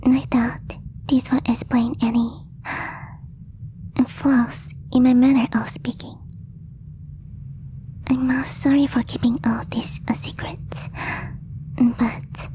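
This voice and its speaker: A woman voicing a gentle, devoted young female alien in a soft, breathy whispered ASMR roleplay, speaking hesitantly in formal, slightly stilted phrases. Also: high voice, flat delivery, takes it slowly, long pauses, poor audio. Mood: apologetic, tender.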